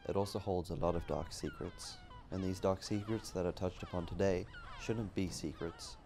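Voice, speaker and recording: Male narrator, low and gravelly, measured scripted read, music bed. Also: dark tone, a little hiss or hum. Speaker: documentary narrator. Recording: music behind the voice.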